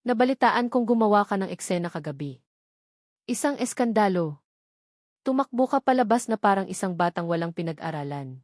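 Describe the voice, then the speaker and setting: A woman's voice: medium pitch, expressive, brisk, darkish tone, dry recording, clean studio sound, natural voice. A woman voicing a cold, haughty elderly matriarch in an audio drama, stern and disdainful. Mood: cold, scolding. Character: cold, haughty elderly rich matriarch scolding a granddaughter-in-law.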